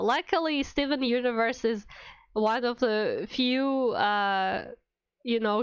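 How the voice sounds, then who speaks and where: A woman's voice: on the high side, clear, resonant tone, no echo, highs cut off. A woman streamer chatting casually with her viewers while playing a game, sounding a little under the weather. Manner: chatty, addresses viewers by name. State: sick with a cold. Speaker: VTuber streamer.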